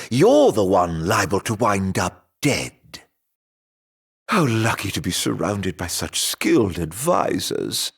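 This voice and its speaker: Theatrical video game villain, immortal and vengeful, gravelly husky voice, hugely swooping intonation, unhurried drawl, silky mock-polite taunting. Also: male voice, lowish voice, no echo, clean studio sound, heavily acted. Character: theatrical, mocking immortal male villain bent on revenge.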